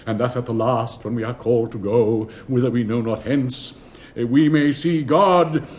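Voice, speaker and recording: An actor in a radio play as a pompous, sentimental older public-school headmaster with an upper-class English accent, declaiming in fussy, plummy tones. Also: male voice, mid-range voice, steady tone, normal speed, a little mellow, slight background noise, close to an everyday voice. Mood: pompously pious. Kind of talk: theatrical British radio play acting.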